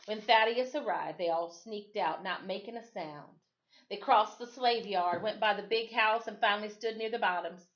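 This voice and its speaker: A woman reading a children's story aloud as expressive storytime narration. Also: mid-range voice, normal speed, muffled recording. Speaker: storytime reader.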